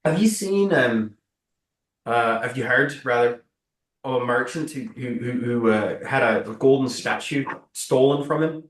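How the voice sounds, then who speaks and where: Tabletop game role male player: jittery mercenary, slow, stammering, over-explaining himself, roomy sound. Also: mid-range voice, natural voice. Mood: earnest, halting. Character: nervous, rambling mercenary explaining himself to authorities. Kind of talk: improvised tabletop roleplay. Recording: echoey room, far from mic.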